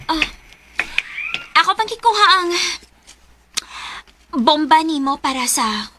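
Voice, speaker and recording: Radio drama sweetheart: Filipino, coquettish and fussing, extremely high, slow cooing delivery. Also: female voice, big pitch swings, bright, forceful tone, a little hiss or hum, exaggerated voice. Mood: doting. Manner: cooing, drawn-out. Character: doting, coquettish, fussy darling Marga.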